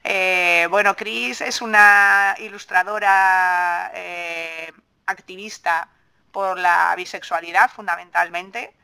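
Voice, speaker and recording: A woman's voice: on the low side, fairly even intonation, slow, gravelly, bright, forceful tone, clear, resonant tone. A woman with an accent from Spain, hosting a podcast in a casual, informal interview style. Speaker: podcast host.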